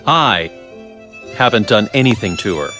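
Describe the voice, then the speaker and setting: A man playing a curious, evasive doctor in a video game, musing and teasing with theatrical pauses. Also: fairly low pitch, expressive, sharp tone. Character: wry, curious, evasive male scientist doctor. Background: faint music.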